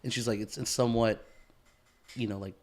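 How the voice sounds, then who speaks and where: A man's voice: on the low side, fairly even intonation, a little fast, darkish tone. Rambling man joking crudely, lots of "dude". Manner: heavy use of "dude" and "like" fillers. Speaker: podcast co-host. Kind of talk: casual chat.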